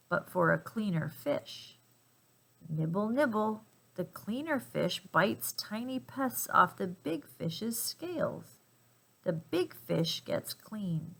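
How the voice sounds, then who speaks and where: Slow, creaky woman reading a children's storybook. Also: mid-range voice, a bit bright. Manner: emphatic, playful delivery for young children. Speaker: storybook reader. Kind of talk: expressive children's read-aloud.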